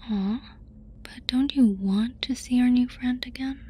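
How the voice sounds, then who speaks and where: A woman voicing a playful, affectionate alien abductor in a soft, casual, coaxing whisper for an ASMR roleplay. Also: on the high side, unhurried, slightly rough. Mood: soothing, affectionate. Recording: noisy ambience behind close whisper.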